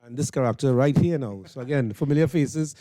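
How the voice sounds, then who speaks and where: A man's voice: medium pitch, a little fast, warm tone. A man with a Trinidadian accent hosting informal interviews with performers at an event. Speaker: interviewer and host.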